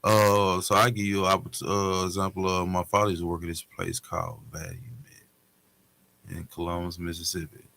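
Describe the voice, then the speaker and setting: A man's voice: sits low, completely flat delivery, a little fast, gravelly, bright, forceful tone, slight background noise. A man telling personal stories in a casual panel talk, blunt, slangy and profane. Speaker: panel guest.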